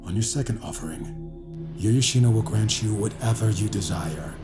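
Low-voiced solemn mystic played by an actor. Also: male voice, lightly acted. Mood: stern warning. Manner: solemn, measured, cryptic. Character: grave mystic man explaining an ancient blood ritual. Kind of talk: audio drama acting. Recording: dramatic music behind the voice.

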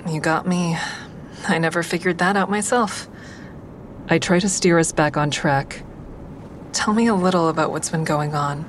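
Low-voiced, wry woman actor over noisy rumble. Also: lightly acted. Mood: wry, watchful. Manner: measured, coaxing, dryly frank. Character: calm, wry woman taking crisis calls, narrating her thoughts. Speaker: narrator and crisis-line listener. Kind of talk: full-cast audio drama, first-person narration and dialogue.

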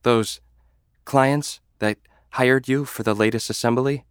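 A timid young lab assistant, as a man puts him on, speaking slowly and haltingly, afraid of his boss. His voice is smooth and clear, recorded dry and close in a studio audio drama. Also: mid-range voice, steady tone, no room sound, voice pushed up, natural voice. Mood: hesitant. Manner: hesitant, halting. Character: nervous young male lab assistant, deferential.

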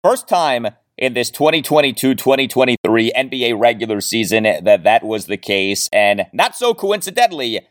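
A man hosting a sports podcast, recapping games and statistics with emphatic, deliberate phrasing. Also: medium pitch. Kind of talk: sports recap commentary with stats.

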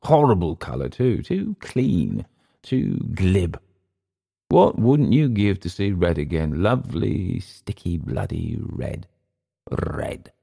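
A man's voice: deep voice, sing-song, slow pace, creaky, warm tone, slightly rough, exaggerated voice. A man voicing a bitter, volatile, drink-sodden Englishman in a literary story. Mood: mocking. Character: bitter, drunken, volatile Englishman gone to seed.

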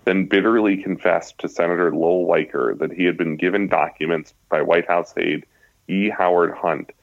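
Low-pitched male voice, American accent, author reading nonfiction aloud, dry close sound, slightly dull audio. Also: steady tone, normal speed, dry recording. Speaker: guest author and journalist.

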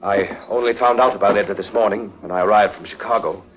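An actor playing a courteous, grieving husband, restrained and halting, in a golden age radio drama. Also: male voice, lowish voice, a little hiss or hum, natural voice. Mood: subdued grief. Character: grieving, courteous secret husband of a murdered woman. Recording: muffled vintage 1940s radio recording.

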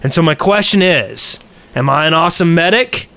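Guy on a gaming podcast, kinda high bright voice, hesitant, thinks out loud. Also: animated, normal speed, no room sound. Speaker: podcast co-host. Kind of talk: casual gaming podcast chat.

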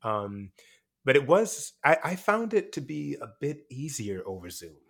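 A man born in Jamaica, an actor and teacher, talking over his experiences in a relaxed interview, with frequent fillers and restarts. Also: mid-range voice, unhurried, vocal fry. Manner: reflective, many fillers and restarts. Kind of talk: casual interview conversation.

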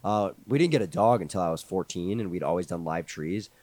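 Hoarse guy in his twenties chatting on a podcast, lowish voice, dry sound. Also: a little mellow, no echo. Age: 20s. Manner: quick, easygoing, joking. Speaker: podcast co-host. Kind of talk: casual chat.

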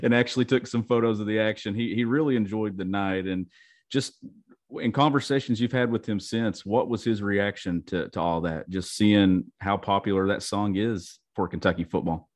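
Male interviewer, lowish voice, loose rambling questions. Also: fairly even intonation, moderate pace. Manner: loose, searching questions with restarts.

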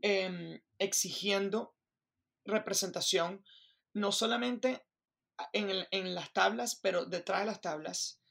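Venezuelan woman, gravelly, animated. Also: medium pitch, slow. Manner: animated, restarts, keeps asking "me entiendes". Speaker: Broadway performer, guest. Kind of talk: interview conversation.

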